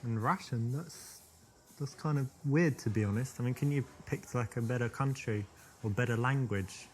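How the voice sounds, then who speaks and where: A man's voice: medium pitch, moderate pace, a little mellow, close to an everyday voice. A man with a British accent, from London, acting out flirting conversation and dating advice in an interactive video game. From London, England.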